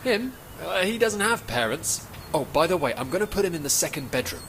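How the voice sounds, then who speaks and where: A man's voice: a little high, big pitch swings, a little fast, fairly bright tone, slight background noise, low-quality recording. A man playing a breezy, evasive acquaintance in casual audio drama dialogue.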